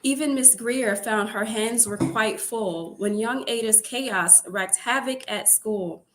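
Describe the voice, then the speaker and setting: Slow, expressive woman reading a bedtime story. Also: fairly high pitch, slight background noise, low-quality recording. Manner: expressive, repeats phrases for emphasis. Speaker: storyteller reading a children's picture book. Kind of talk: read-aloud bedtime story narration.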